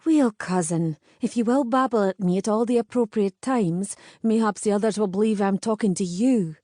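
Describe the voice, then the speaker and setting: A woman voicing a bold, steady young Scottish woman with a firm, commanding manner, in a Scottish accent. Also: medium pitch, normal speed, no room sound, studio quality, natural voice. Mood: urgent. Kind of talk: audiobook character voice.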